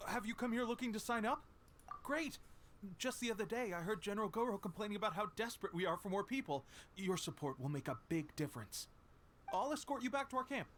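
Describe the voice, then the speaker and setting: An actor playing an earnest, eager and humble young rebel soldier in a video game. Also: male voice, sits high, lively intonation, fast talker, fairly bright tone, a little hiss or hum.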